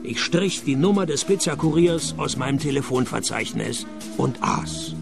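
A man's voice: medium pitch, normal speed, vocal fry, music in the background. A man with a Swiss German accent playing a dry, sardonic private detective who narrates a radio play. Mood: deadpan. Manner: deadpan, sardonic.

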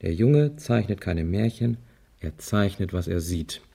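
Husky, musing husband. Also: male voice, low voice, lively intonation, measured pace, dark tone, exaggerated voice. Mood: grimly certain. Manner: musing, assertive, conversational. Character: speculating, confident husband puzzling over grim clues. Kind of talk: radio crime drama dialogue.

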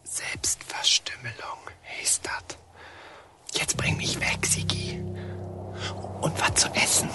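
An actor playing a desperate, hunted young deserter in a radio drama, with a North German accent and terse, urgent commands. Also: male voice, slow, pauses often, pushed and bright, whispered, faint music behind. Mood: hushed, urgent. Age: young adult. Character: desperate young army deserter, older brother, threatening and hunted.

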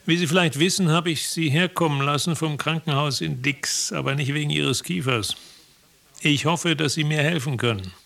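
A military psychiatrist for a radio play, with a strong gravelly creak in the voice. The major is cool and condescending, questioning in a formal, clipped way. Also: male voice, a little high, normal speed, sharp tone. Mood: probing, formal. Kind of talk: German radio drama acting.

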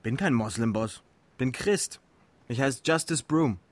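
Crime radio play: a deferential young suspect, husky, dry close sound, giving little away. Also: male voice, mid-range voice, lively intonation, measured pace, no room sound. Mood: evasive. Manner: servile, terse. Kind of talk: radio crime drama acting.

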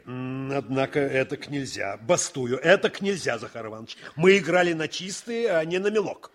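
An actor playing a gruff, worldly card-playing officer with a husky voice in a radio drama. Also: male voice, medium pitch, big pitch swings, normal speed. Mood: firm, insistent. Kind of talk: Soviet radio drama acting.

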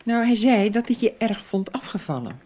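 A woman playing a concerned, loving but firm mother in a radio soap, gentle and earnest. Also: mid-range voice, very animated, normal speed.

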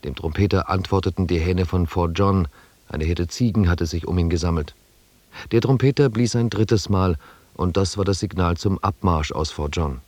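An actor as the sober narrator of an expedition chronicle in a German radio drama, reading in a measured, descriptive way. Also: male voice, sits low, darkish tone, no echo. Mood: sober, calm.

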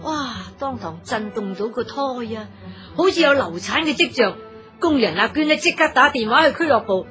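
A woman narrates a Cantonese radio story. Her voice is fairly high and swings widely in pitch. She is colloquial and dramatic in the telling, with soft music behind her. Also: animated, moderate pace. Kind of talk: lively scripted story narration.

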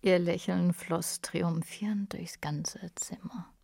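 A woman reading scripted narration for a radio play in a hushed, literary manner. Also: lowish voice, steady tone, slow, gravelly, slightly rough, dry recording.

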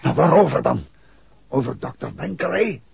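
Genial gentleman detective, lively, muffled vintage radio. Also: medium pitch, normal speed, gravelly. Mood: reassuring, urgent. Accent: Netherlands Dutch. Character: calm, genial gentleman detective, affectionate husband. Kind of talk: 1960s Dutch radio drama acting. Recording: muffled, noisy 1960s radio recording.

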